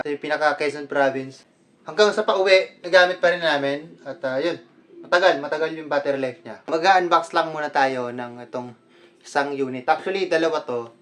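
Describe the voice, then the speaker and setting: A man's voice: on the high side, fairly even intonation, moderate pace, fairly bright tone. A man with a Filipino accent, a vlogger talking casually through a product unboxing in a conversational way, mixing Tagalog with English.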